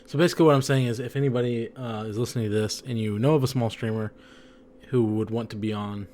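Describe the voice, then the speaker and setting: A man's voice: mid-range voice, fairly even intonation, fast talker, darkish tone. Rambling young man, a bit tipsy. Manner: rambling, heavy on fillers. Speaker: podcast co-host. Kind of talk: casual chat.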